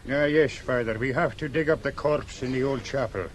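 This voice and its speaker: A man's voice: mid-range voice, moderate pace, slight background noise, lightly acted. An actor playing a weary, grumbling senior policeman with a dry manner, in radio drama style.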